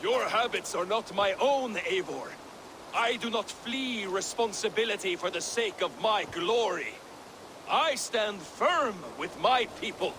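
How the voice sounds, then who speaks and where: High, strained defiant Viking warrior. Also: male voice, expressive, unhurried, noisy background. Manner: declamatory, archaic formal speech. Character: defiant, resentful Viking warrior challenging his leader. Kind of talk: dramatic video game acting.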